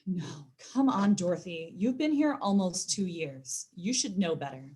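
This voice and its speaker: A woman's voice: fairly low pitch, lively intonation, normal speed, warm tone, husky. A woman playing a stern, exasperated grocery store supervisor, curt and chiding, in an audio drama. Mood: scolding.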